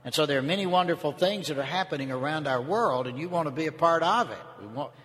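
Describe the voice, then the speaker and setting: A man's voice: on the high side, animated, fast talker, fairly bright tone. An older man from North Georgia with a Southern US accent, speaking to a congregation as a visiting preacher in a folksy, conversational way. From North Georgia, United States. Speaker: visiting preacher, seminary teacher. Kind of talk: sermon, informal opening remarks to a congregation. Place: large indoor church space. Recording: reverberant church hall.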